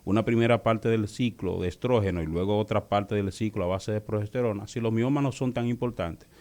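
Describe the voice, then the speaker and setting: A man with a fairly low voice speaks as a doctor being interviewed. He explains in a didactic way, with halting phrasing and repeated words as he searches for the next term. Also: darkish tone.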